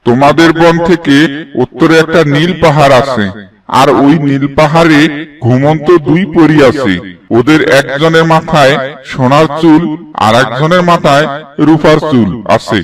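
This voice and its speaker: A man's voice: fairly high pitch, moderate pace, far from the mic, a crowd in the background, close to an everyday voice. A man voicing a solemn owl sorcerer in a children's cartoon, grave and declamatory as he gives warnings.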